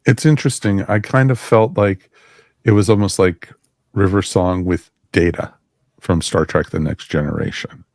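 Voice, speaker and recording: A man co-hosting a casual podcast review, relaxed and agreeable as he chimes in. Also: low voice, normal speed, dry recording, studio quality. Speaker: podcast co-host.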